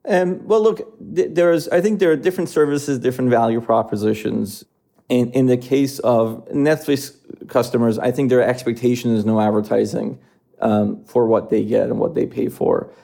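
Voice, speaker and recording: A man in his thirties who grew up in Iran, answering interview questions in a measured way with frequent fillers. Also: mid-range voice, creaky, mellow. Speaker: streaming company executive.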